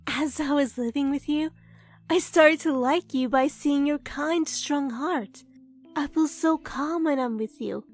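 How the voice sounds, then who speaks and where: Woman acting shy high-pitched anime-dub young woman. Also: a little slow. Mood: remorseful, tender. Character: shy, earnest young woman novelist in love. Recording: music bed behind the voice.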